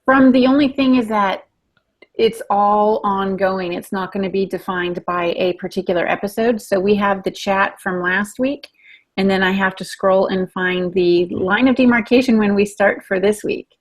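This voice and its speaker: A woman's voice: medium pitch, normal speed. A woman co-hosting a podcast, chatting casually and trading playful banter. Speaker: podcast co-host.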